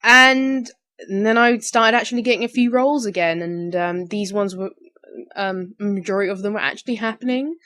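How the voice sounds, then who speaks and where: A young woman with a mid-pitched voice chats informally, as in a casual vlog. She sounds a little tired and rambles loosely from thought to thought. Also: normal speed, pushed and bright. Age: young adult, about 18. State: slightly tired, recently woken. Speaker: amateur voice actor. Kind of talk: casual question-and-answer vlog.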